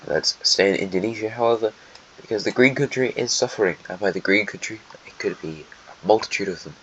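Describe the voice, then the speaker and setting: A man's voice: fairly low pitch, brisk, fairly bright tone. A man with a stuffy, snotty voice giving rambling, sarcastic commentary over a map simulation. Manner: rambling, sarcastic asides to countries. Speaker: host narrating a map simulation. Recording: dull lo-fi mic, faint hiss.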